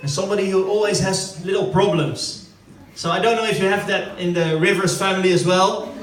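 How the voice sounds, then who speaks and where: A man's voice: high voice, a little fast. Dutch-accented man doing stand-up. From Netherlands. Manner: chatty, rambling, laughing often. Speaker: stand-up comedian. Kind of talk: stand-up comedy at a family party. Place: former football club canteen. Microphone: corded handheld mic. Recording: echoey hall from a distance with crowd.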